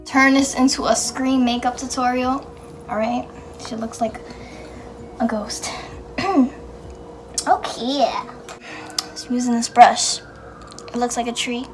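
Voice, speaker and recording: A young woman with a fairly high voice, teasing and chattering to herself as she works through a makeup challenge. Clear music runs behind her the whole time. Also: fairly even intonation, slow pace, long pauses. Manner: teasing, joking, muttering to herself. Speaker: sister doing makeup. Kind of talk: casual chat during makeup challenge.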